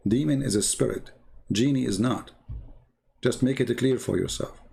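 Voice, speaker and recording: A man's voice: lowish voice, creaky, mellow, slightly rough. A man who speaks English as a second language, giving an informal explanatory talk in a didactic, emphatic way, repeating his phrases. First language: not English. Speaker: religious commentator, show host. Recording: shortwave radio talk.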